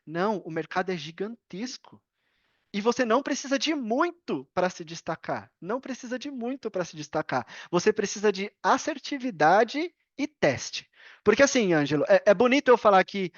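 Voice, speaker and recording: A woman's voice: mid-range voice, no echo. Emphatic Brazilian woman, dry dull recording. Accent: Brazilian Portuguese. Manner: emphatic, opinionated, repeats key points, poses questions to self. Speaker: podcast guest, affiliate marketer. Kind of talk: casual podcast talk, explaining with examples.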